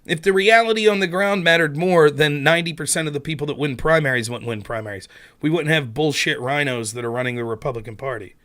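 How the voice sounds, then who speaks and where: A man's voice: on the high side, fast, a bit bright. A man from Pennsylvania hosting a casual livestream on a headset at home, grumbling to himself with mild curses and airing blunt political opinions.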